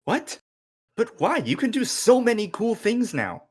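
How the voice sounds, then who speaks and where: A man's voice: extremely high pitch, huge pitch swings, measured pace, husky, heavily acted. A man playing a slangy, enthusiastic, goofy teenage boy, the loyal best friend, at an amateur script table read. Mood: indignant.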